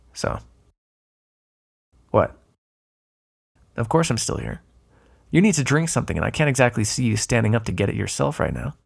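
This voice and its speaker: A flirtatious male vampire, acted by a man, murmurs in an intimate ASMR roleplay. His voice is husky and breathy with a creaky fry, and it swings freely up and down as he teases. He comes across as smug and predatory, yet looks after his prey. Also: medium pitch, full character voice. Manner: teasing, drawling asides with soft sighs and chuckles. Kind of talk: ASMR roleplay, intimate second-person acting.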